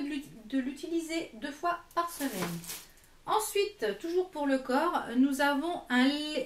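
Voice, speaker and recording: A woman in her late thirties giving a casual product review in a chatty, hesitant manner, pausing to read labels aloud. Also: sits high. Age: late 30s. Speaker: beauty vlogger presenting a product box. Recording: echoey room.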